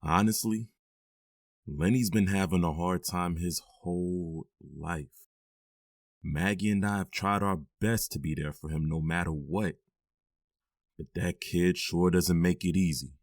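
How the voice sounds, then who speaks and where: A man acting out a deep-voiced middle-aged father in an audio drama, firm and weary, given to heavy sighs. His low voice sits close and dry with no room around it. He scolds and comforts in the same steady way. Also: measured pace, no room sound. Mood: confiding. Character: stern but loving middle-aged stepfather.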